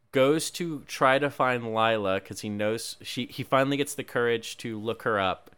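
A man hosting a podcast, recapping a movie plot with wry, deadpan jokes. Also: mid-range voice, clean tone. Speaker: podcast host. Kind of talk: casual movie recap chat.

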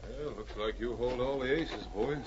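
An actor playing a calm, self-assured Mountie sergeant in a 1940s radio drama, on a dull old recording. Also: male voice, medium pitch, moderate pace, echoey room, noisy background, natural voice. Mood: calm, wry.

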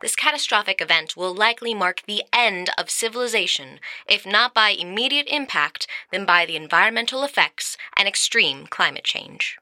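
An actress playing a grave news anchor in an audio drama, speaking in a solemn, formal, measured broadcast style. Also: female voice, mid-range voice, expressive, sharp tone, no room sound. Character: grave news anchor announcing the end of civilization.

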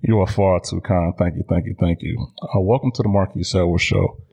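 A man's voice: sits low, steady tone, fairly quick, gravelly, background noise. A man in his thirties from Chicago with an American accent, hosting a podcast in a conversational solo monologue. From Chicago, United States. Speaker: podcast host.

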